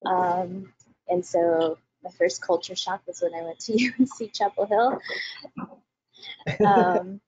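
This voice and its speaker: A woman from Mexico introducing herself on a video panel as a coffee shop owner, in a relaxed storytelling manner. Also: lowish voice, slow pace, long pauses, slightly rough, dry recording, muffled recording.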